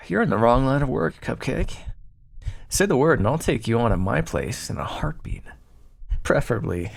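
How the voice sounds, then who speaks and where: Gravelly, breathy seductive male vampire, acted by a man. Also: mid-range voice, full character voice. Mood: hungry, pleased. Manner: taunting, drawling, flirtatious. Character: predatory, teasing male vampire, smug and seductive. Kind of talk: ASMR roleplay acting.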